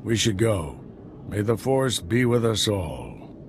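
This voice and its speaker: Low, composed Jedi mentor. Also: male voice, slow pace, slight background noise, heavily acted. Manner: calm, authoritative. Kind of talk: video game character acting.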